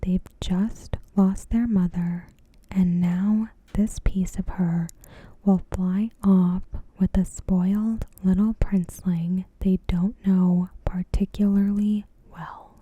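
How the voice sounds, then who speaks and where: A woman ASMR host reads a review in a soft, breathy, unvoiced whisper with a deliberate delivery. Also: mid-range voice, fairly even intonation, dry recording.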